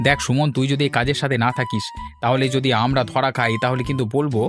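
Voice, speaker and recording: A man's voice: mid-range voice, flat delivery, fast, over music, close to an everyday voice. A man voicing an emphatic, argumentative young village lad who schemes with friends in a cartoon story. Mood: scheming.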